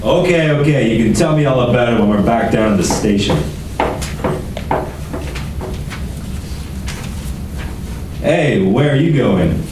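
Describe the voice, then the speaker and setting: A man's voice: medium pitch, expressive, a little fast, natural voice. A man playing a businesslike, blunt police lieutenant in a radio detective drama. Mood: brisk. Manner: blunt, questioning. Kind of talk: old-time radio crime drama acting. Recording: very echoey, distant, noisy.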